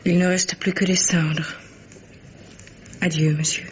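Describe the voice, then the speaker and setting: A man's voice: sits high, level tone, slow pace. A man voicing a stern, loyal old governess, solemn and terse, in a 1950s French radio mystery. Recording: muffled, noisy old radio recording.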